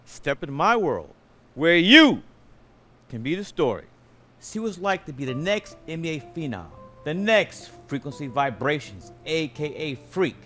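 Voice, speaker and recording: High, hyped show announcer. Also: male voice, very animated, moderate pace, a bit bright, faint music behind. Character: hyped, showy TV documentary series announcer. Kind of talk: promo announcement.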